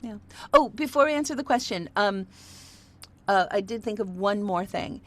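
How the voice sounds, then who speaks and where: A woman's voice: mid-range voice, lively intonation, normal speed. A woman hosting an online workshop, talking casually with many fillers and easy laughs. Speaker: host.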